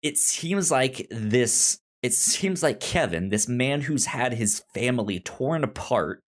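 A man's voice: medium pitch, expressive, slow pace. A man chatting casually as a podcast host, with a stutter, often acting out imagined dialogue. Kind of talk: casual TV discussion chat.

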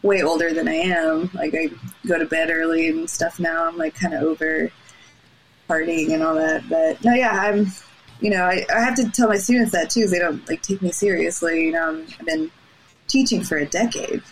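A woman in her thirties talks loosely as a podcast guest, full of fillers and easy laughs. Her voice sits on the low side. Faint ambient noise hangs in the background. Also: normal speed, rough recording. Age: 30s. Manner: laughing often, lots of fillers. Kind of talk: casual chat.

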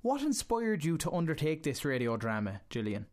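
A man hosting an interview, putting prepared questions in a formal, measured way. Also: on the high side, big pitch swings. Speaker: radio show host and interviewer.

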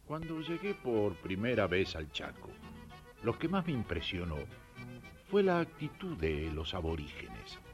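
A man's voice: lowish voice, sing-song, a little slow, faint music behind. A man from Belgium narrating a personal testimony. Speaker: rural worker giving testimony.

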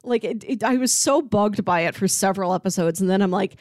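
A woman in casual, animated conversation as a podcast host, talking through a TV review with loose, conversational phrasing. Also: medium pitch, a little fast, no echo, studio quality.

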